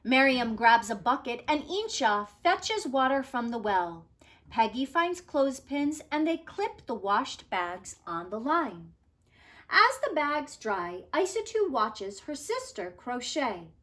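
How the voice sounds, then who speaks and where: A woman's voice: high voice, very animated, slow pace, a bit bright. A woman teacher reading a children's story aloud expressively, with careful, dramatic phrasing and emphasis.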